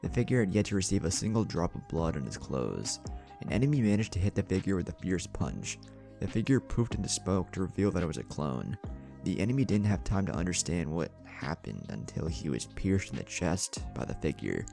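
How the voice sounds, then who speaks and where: Deep, creaky male story narrator. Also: big pitch swings, a little fast, warm tone, soft background music. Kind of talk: dramatic scripted fan fiction narration.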